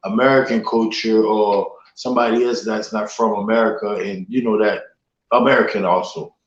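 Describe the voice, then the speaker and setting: A man's voice: fairly low pitch, fairly even intonation, moderate pace. Man in an echoey room. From Haiti. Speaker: podcast guest. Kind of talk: casual chat. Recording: echoey room, dull lo-fi audio.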